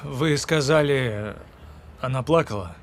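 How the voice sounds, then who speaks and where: An actor playing an earnest, troubled man in an audio drama phone dialogue, speaking in a halting, guarded way. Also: mid-range voice.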